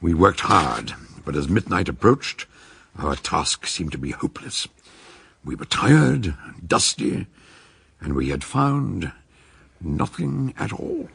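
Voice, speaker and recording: An actor playing an eccentric older detective, narrating a radio drama in a gravelly voice with measured, theatrical storytelling. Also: male voice, low voice, very animated, noisy background, full character voice. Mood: dramatic narrating. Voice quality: very husky, gravelly. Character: eccentric older male detective recounting a case.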